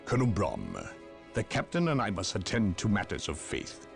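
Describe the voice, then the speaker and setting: An actor playing a curt, condescending inquisitor in a video game, gravelly and commanding. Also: male voice, on the low side, wildly swooping pitch, moderate pace, exaggerated voice. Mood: cold, cutting. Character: imperious, curt inquisitor with absolute authority. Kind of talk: dramatic video game cutscene acting. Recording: music bed under the voice.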